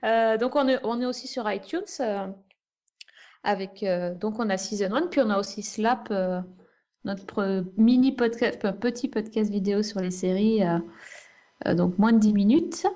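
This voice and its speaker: A woman's voice: medium pitch, moderate pace, warm tone. A woman podcaster speaking as a guest in a casual round-table chat. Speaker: podcast guest, podcaster presenting their show.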